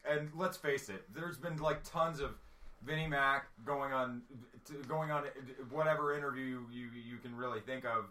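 A man co-hosting a casual podcast, agreeable and quick to back up his partner. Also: mid-range voice, steady tone, pushed and bright, distant mic. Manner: agreeable, chiming in with quick backing. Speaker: podcast co-host. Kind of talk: casual wrestling podcast chat.

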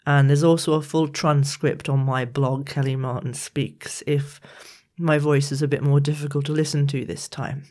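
Lady podcaster with a cold, bunged up nose, croaky voice, soft and thoughtful. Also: a bass voice, little pitch movement. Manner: gentle, measured, confessional. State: congested and croaky from a viral infection. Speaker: podcast host. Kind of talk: reflective solo podcast.

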